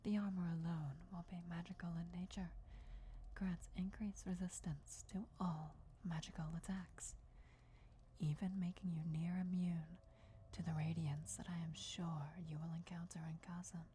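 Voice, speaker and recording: A woman plays an ancient vampire countess in a fantasy ASMR roleplay, whispering with courtly, devoted formality. Her voice is rather low and very mellow, measured and reverent. Background noise and ambience sit behind it. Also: level tone, lightly acted. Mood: proud, deferential.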